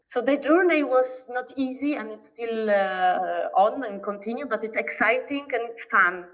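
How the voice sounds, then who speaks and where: A woman from Poland with a Polish accent, a podcast guest telling her story in English, often pausing to search for words. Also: a little high, lively intonation, fairly bright tone. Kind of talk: casual interview talk. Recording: distant, dull lo-fi remote audio.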